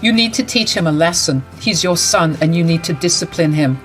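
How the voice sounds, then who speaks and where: A woman plays a protective young bride with a raised, lively voice, brisk and firm and quick to indignation. Faint music runs underneath. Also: lowish voice, voice pushed down.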